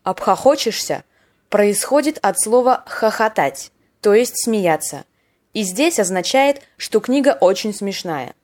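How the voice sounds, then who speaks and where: A woman's voice: mid-range voice, lively intonation, unhurried, a little mellow. A woman hosting a podcast that explains slang words, in wry scripted narration with short acted example lines. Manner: wry, playful, acts out short example dialogues. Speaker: podcast host teaching Russian slang.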